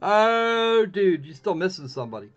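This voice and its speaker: A man's voice: sits high, big pitch swings, normal speed. Sarcastic man streaming a game. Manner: chatty, sarcastic, restarts a lot. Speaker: streamer playing a visual novel. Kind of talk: casual livestream commentary. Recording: dull lo-fi stream mic with game music behind. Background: faint game music.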